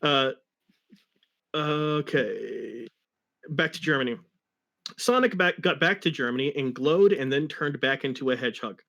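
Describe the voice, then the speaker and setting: A man's voice: mid-range voice, expressive, a little slow, vocal fry, long pauses, fairly bright tone. A man reading fan fiction aloud dramatically and chatting casually about it. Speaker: story reader.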